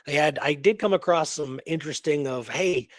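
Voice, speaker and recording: A man co-hosting a show, casually discussing a historical mystery in a halting, rambling way that repeats words. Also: a little high, dry recording, studio quality. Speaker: co-host of a radio history show.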